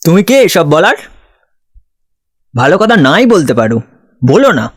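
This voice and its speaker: A man's voice: fairly high pitch, sing-song, unhurried, a little hiss or hum. A man playing a kind, protective schoolmaster in an audio story, speaking earnestly and emphatically. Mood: indignant scolding. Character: kind, protective male schoolmaster, mentor figure.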